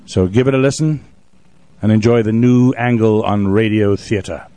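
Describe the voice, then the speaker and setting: A man's voice: on the low side, fairly even intonation, normal speed, dark tone. A man hosting a talk radio show, introducing the program and addressing his listeners from a studio.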